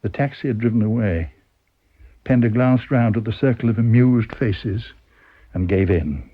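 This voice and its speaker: A man's voice: lowish voice, normal speed, breathy edge, lo-fi sound. A man with a British accent reading a story aloud as dramatic scripted narration. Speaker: story reader.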